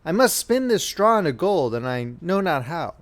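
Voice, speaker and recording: A man's voice: a little high, very animated, normal speed. A man voicing a distressed young miller's daughter in a storybook reading, plaintive and theatrical. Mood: woeful. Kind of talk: storybook character voice in a fairy-tale reading.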